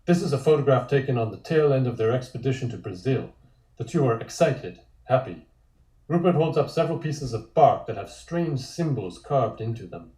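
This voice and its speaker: A man's voice: medium pitch, lively intonation, moderate pace, warm tone. A man reading a comic script aloud as narrator. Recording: echoey room.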